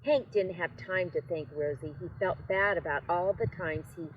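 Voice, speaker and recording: An older woman reads a children's story aloud, gently pausing to talk to her young listeners. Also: on the low side, lo-fi sound, a little hiss or hum. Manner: gentle, unhurried, addressing children.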